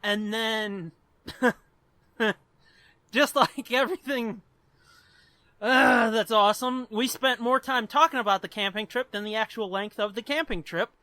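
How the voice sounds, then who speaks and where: A man's voice: high voice, slow, long pauses, bright, forceful tone, dry recording. Man, podcast co-host, rambling and profane, dry close sound. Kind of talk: casual podcast chat.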